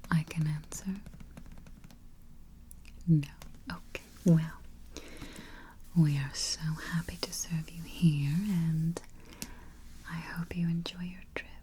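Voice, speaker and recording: A woman voicing a polite female vampire travel agent in soft, breathy, mostly whispered ASMR roleplay, speaking gently and close to the microphone. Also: fairly low pitch, slight background noise. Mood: polite, reassuring. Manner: gentle, unhurried, hesitant murmured hums.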